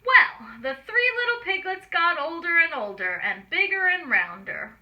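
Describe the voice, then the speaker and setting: A woman storyteller reading aloud to small children, expressive and explaining things simply. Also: very high voice, normal speed, dull audio. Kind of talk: children's read-aloud narration with asides.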